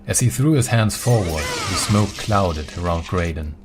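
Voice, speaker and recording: Deep-voiced male fantasy narrator. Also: lively intonation, measured pace. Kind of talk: scripted dramatic fantasy narration. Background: prominent music.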